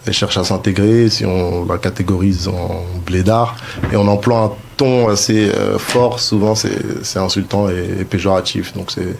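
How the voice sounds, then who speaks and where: A man's voice: deep voice, fairly even intonation, normal speed, creaky, a little mellow, a little hiss or hum. A man hosting a casual discussion, hesitant, with frequent fillers and restarts. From France. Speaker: host.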